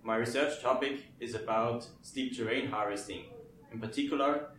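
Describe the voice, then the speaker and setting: A man's voice: mid-range voice, normal speed, fairly bright tone, a little hiss or hum. Man from Austria, distant and echoey. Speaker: PhD student. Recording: distant mic in an echoey room.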